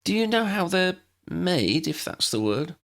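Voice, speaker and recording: Man voicing a high, tentative young woman. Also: sing-song, unhurried, vocal fry, a bit bright, heavily acted. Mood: tentative, curious. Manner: tentative, respectful. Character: polite, inquisitive young woman, hesitant but brave.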